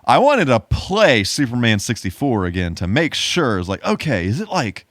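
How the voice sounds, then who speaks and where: A man's voice: medium pitch, sing-song, normal speed, no room sound, studio quality. A man hosting a podcast in a casual, playful style with a goofy bit of showmanship. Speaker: podcast host.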